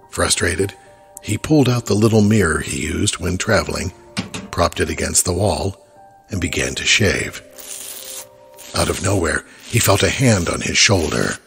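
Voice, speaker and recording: A man narrating a horror story in a measured, steady way. His voice is very deep and gravelly, with clear music playing beneath it.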